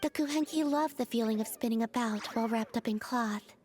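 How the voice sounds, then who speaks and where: A woman plays a serene young moon goddess from a video game. The voice is soft, airy and fairly high, speaking in a measured, formal, innocent way over faint music. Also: close to an everyday voice. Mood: gentle. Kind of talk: video game character acting.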